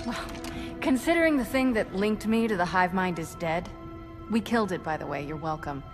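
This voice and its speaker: A woman's voice: lowish voice, lively intonation, moderate pace, music in the background. A woman playing a defiant, sardonic young soldier in a video game. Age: young adult. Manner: dry, defiant, sarcastic. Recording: loud game score behind.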